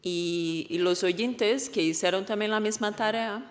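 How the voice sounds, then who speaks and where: A woman's voice: mid-range voice, a little slow. Portuguese-accented woman presenting in a reverberant hall. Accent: Portuguese-speaking. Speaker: researcher presenting a study. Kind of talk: conference presentation. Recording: echoey hall with long reverb.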